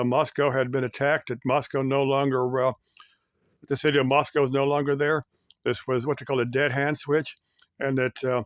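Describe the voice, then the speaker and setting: A middle-aged or older man with an American accent, explaining things casually in a halting, rambling way with backtracking. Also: medium pitch, no echo. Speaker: YouTube host.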